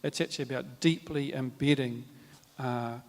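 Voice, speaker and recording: A man with a New Zealand accent, speaking at a live panel in a measured, reflective way with many fillers and restarts. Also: medium pitch, fairly even intonation. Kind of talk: formal panel talk with Māori greetings. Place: large hall at a live event. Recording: reverberant hall.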